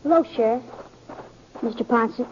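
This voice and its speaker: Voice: mid-range voice, normal speed, slight background noise, natural voice. A young performer playing an earnest, apologetic boy with plain rustic speech in a 1950s radio western. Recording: dull old radio recording.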